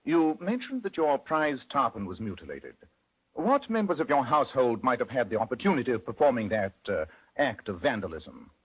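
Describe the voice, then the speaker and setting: A composed, brisk gentleman detective from old-time radio. His pitch swings widely as he reasons aloud in precise, theatrical phrases. The voice is a little rough-edged, with formal courtesy throughout. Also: very animated, lo-fi sound. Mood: analytical. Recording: vintage radio drama recording.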